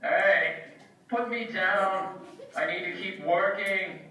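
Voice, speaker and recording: Man playing a boastful puppet genius, bright and echoey. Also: high voice, takes it slowly, exaggerated voice. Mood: irritated. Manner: self-assured, theatrical. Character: boastful, absorbed genius inventor serving a king. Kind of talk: children's puppet show acting. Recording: echoey room, distant mic, dull, some noise.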